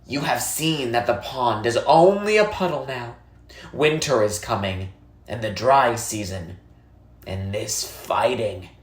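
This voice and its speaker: A storybook narrator voicing a reserved, sympathetic old village woman who speaks plainly and gravely. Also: medium pitch, slow pace, lightly acted. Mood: sympathetic, firm. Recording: echoey, roomy sound.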